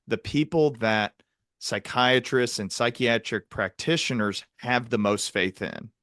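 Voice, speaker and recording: A man's voice: mid-range voice, a bit bright, no echo, studio quality. An American man hosting a podcast in a casual, conversational way. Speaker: podcast host, psychiatric practitioner.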